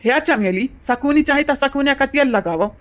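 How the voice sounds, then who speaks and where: A woman's voice: sits high, expressive, moderate pace, background noise. A woman voicing a taunting, grumbling village man in an Awadhi comedy cartoon, argumentative and rustic. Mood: annoyed. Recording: dull lo-fi audio.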